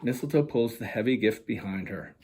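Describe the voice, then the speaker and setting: A man, an author reading his children's picture book aloud in a husky voice. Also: medium pitch, brisk, darkish tone. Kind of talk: children's storytime narration.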